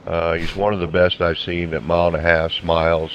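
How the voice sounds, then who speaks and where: A man's voice: deep voice, level tone, brisk, lo-fi sound. A man working as a race color commentator, explaining things in a conversational way during live coverage. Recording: clear droning engine noise behind.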